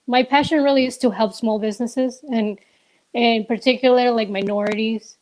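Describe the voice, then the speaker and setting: A woman's voice: fairly high pitch, fairly even intonation, moderate pace, darkish tone, a little hiss or hum, rough recording. A young woman from Ecuador, a business co-owner, answering interview questions casually and hesitantly, with many fillers and restarts, over a remote call.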